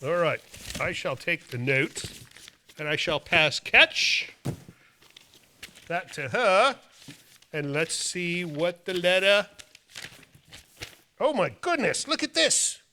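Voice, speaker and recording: A man with a high, forceful voice works as a show host. He reads viewer mail and banters casually, with a playful, theatrical flair. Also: big pitch swings, slow, pauses often, slight background noise. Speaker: host of a horror movie show.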